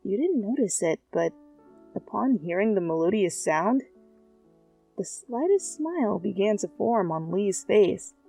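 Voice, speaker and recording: A woman narrating a second-person fan fiction story in a playful, conversational way, with animated asides. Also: medium pitch, unhurried, creaky, husky, faint music behind. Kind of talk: expressive second-person story narration, ASMR fanfic reading.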